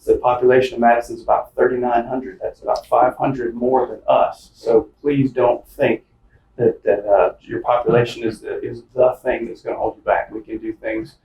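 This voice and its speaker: A man's voice: mid-range voice, level tone, moderate pace, a little mellow. Guy hosting a podcast, chatty, lots of uhs, sounds a bit far off in a roomy space. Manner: chatty, folksy, with frequent fillers and restarts. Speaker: podcast host and small-town mayor. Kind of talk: casual interview chat. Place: office conference room. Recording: roomy, distant mic with faint noise.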